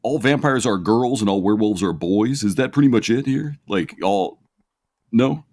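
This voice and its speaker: A man's voice: lowish voice, expressive, normal speed, a little mellow. A sarcastic man co-hosting a podcast, casually heckling and bantering for comedy. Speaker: podcast co-host.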